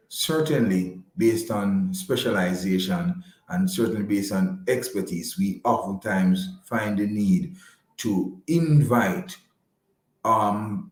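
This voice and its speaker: A man speaking as an interview guest, measured and courteous, with slightly formal wording. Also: lowish voice, darkish tone, reverberant, poor audio. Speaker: interview guest, financial advisor. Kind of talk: conversational radio interview.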